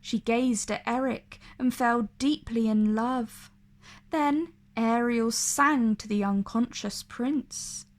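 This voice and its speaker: A woman narrates a children's storybook with expressive drama. Her voice sits a little high. She takes her time over each phrase, pacing it very slowly. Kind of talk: expressive read-aloud narration for kids.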